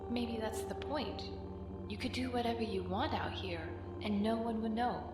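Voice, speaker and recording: A woman's voice: a little high, moderate pace, natural voice. Echoey, distant, curious young Jedi woman acted. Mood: keen, focused. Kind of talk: audio comic dramatization. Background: music.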